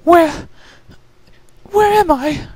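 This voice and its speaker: A man's voice: extremely high pitch, extremely slow, a little mellow, no room sound, pitched above the performer's own voice, full character voice. A man voicing a dramatic, fussy young female pony with a theatrical, self-scolding manner in a story reading. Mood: dazed, groaning.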